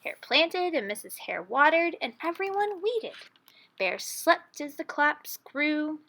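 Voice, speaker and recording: A woman storyteller reading a children's picture book aloud in an expressive, dramatic way. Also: high-pitched, slow, gravelly.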